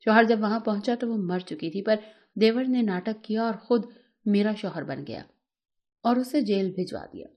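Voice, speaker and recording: A woman's voice: mid-range voice, normal speed, a little mellow. A woman reading a scripted, emotional first-person story as a narrator, in a dramatic storytelling manner.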